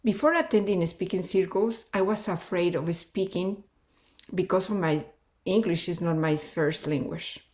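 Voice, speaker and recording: A woman's voice: fairly low pitch, measured pace, a little mellow. A woman whose first language is Spanish, a consultant giving a personal testimonial with non-native phrasing.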